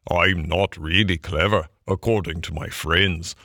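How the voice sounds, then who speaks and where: A man's voice: low-pitched, steady tone, measured pace, sharp tone, dry recording, clean studio sound, full character voice. A man voicing a boastful, vain, self-dramatising male toad in a storybook, with a puffed-up, swaggering delivery. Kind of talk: storybook character voice.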